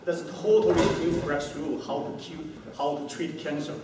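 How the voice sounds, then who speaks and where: A middle-aged man giving a conference talk, halting, with many fillers and restarts. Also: fairly high pitch, flat delivery, vocal fry. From Taiwan. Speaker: company founder giving a talk. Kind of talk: conference talk to a small audience. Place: hotel meeting room, indoors. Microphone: far camera, no handheld mic. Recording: echoey room from far off, noisy.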